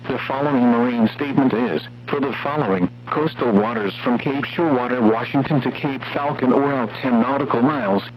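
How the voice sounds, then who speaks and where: A man's synthetic-sounding voice reads scripted weather warnings as a radio announcer, in a formal, measured way. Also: medium pitch. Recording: muffled weather radio broadcast, noisy hum.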